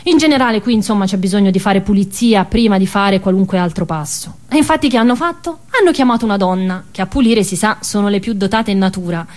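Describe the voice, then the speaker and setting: A woman's voice: medium pitch, quick pace, hardly any pauses, a little mellow. A woman with a Tuscan accent performing a narrative stage monologue in a colloquial, ironic storytelling manner.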